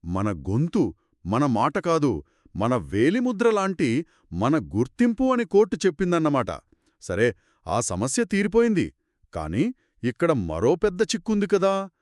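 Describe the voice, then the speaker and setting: A man's voice: on the high side, dry recording, clean studio sound. A man hosting a scripted explainer discussion, asking questions and recapping points in an inquisitive way. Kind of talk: scripted two-person legal explainer discussion.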